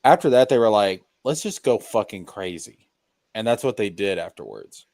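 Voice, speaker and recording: A man in casual chat, talking haltingly and repeating words for emphasis. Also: fairly low pitch, animated, creaky, darkish tone, no echo.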